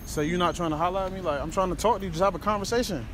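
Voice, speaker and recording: A man's voice: high voice, rapid-fire, background noise. A young man hosting a prank video, talking with brash, boastful swagger outdoors and then inside a parked car. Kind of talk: casual street prank banter.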